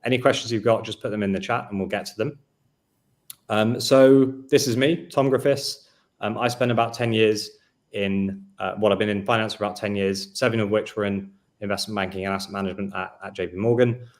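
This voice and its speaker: A man in his thirties hosting a business webinar over a video call, speaking in a measured way with frequent fillers. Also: fairly low pitch, fairly even intonation. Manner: measured presenter, hedging with fillers. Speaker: webinar host.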